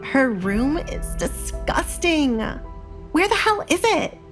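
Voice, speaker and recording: A woman's voice: high-pitched, sing-song, slow pace, a bit bright, faint music behind, voice pushed up, exaggerated voice. Woman voicing a haughty, spiteful young noblewoman. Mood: disgusted. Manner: imperious, scornful.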